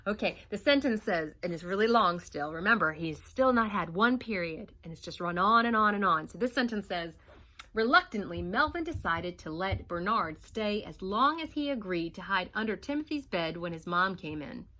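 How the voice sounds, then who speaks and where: A woman's voice: mid-range voice, animated, moderate pace, sharp tone, poor audio. A woman teacher reading a picture book aloud to young children, stopping to ask the class questions. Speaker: first grade teacher.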